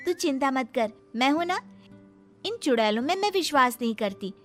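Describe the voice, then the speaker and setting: A woman's voice: sits high, lively intonation, normal speed, fairly bright tone, soft background music. A woman voicing a bold, carefree young girl in a cartoon story, in a childlike manner. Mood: reassuring. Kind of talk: Hindi cartoon story acting.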